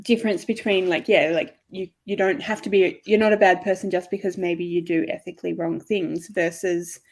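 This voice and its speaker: A woman's voice: fairly low pitch, steady tone, normal speed, darkish tone, low-quality recording. A woman co-hosting a casual book discussion, reflective and thinking aloud as she talks. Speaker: co-host of a book discussion show.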